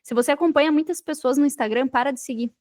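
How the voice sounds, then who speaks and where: High-pitched Brazilian woman, motivational podcast talk. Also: fast, darkish tone, no room sound, studio quality. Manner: emphatic, repeats phrases, addresses listener directly. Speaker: podcast guest, digital marketer.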